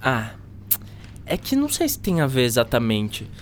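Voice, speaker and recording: A laid-back Brazilian schoolboy in his teens, played by a woman, with a low-pitched voice. He talks in casual slang and likes to tease, in the manner of an educational radio play. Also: wildly swooping pitch, normal speed, slight background noise, exaggerated voice. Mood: earnest, casual. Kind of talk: scripted educational radio drama.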